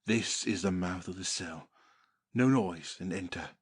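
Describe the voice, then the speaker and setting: A man playing a brutish monster slave, fawning yet murderous, with a rough, urgent voice in a dramatic Shakespearean audiobook. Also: deep voice, unhurried. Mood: fawning, furtive. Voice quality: husky, rough. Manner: urgent, conspiratorial.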